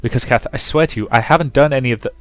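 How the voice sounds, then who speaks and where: A man's voice: medium pitch, racing, dry recording, faint music behind, close to an everyday voice. A man voicing a steadfast, devoted young fiancé in a visual novel, earnest and insistent. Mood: insistent, concerned. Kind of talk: visual novel character reading.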